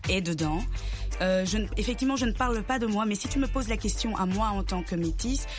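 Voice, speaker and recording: A woman from Congo speaks as an interview guest, thoughtful and measured as she answers. Music plays clearly behind her voice. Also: mid-range voice. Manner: thoughtful, measured, laughs easily. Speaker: filmmaker guest.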